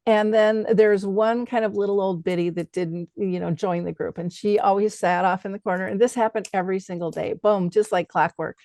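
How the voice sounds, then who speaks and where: A woman's voice: mid-range voice, fairly even intonation, a little fast, few pauses, a little mellow, no room sound. A middle-aged woman hosting a conversational interview, telling personal stories and acting out the dialogue in them. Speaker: podcast host.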